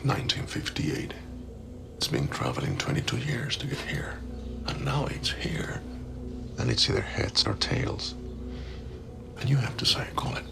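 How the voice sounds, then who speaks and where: An actor playing a calm, menacing killer, speaking in a deliberate, half-whispered deadpan in a film scene. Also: male voice, medium pitch, creaky, music in the background, exaggerated voice. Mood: quiet menace. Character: calm, menacing killer toying with his victims. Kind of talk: film acting. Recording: noisy film clip.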